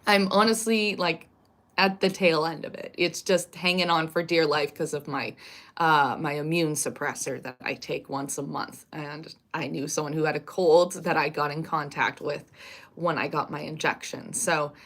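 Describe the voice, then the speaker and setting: Woman, raspy, congested, slightly low voice, rambling live stream chat. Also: steady tone, moderate pace, fairly bright tone. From Canada. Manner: rambling and self-correcting. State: congested from a cold.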